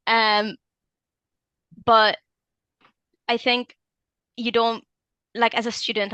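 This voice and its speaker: A young woman answers questions in a relaxed, hesitant way. She fills pauses with ums and likes and often corrects herself mid-sentence. Her voice sits at an ordinary middle pitch, recorded close and dry with no room echo. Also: smooth, dull audio. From Hungary. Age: 20s. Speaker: alumna interviewee. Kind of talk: casual interview answers.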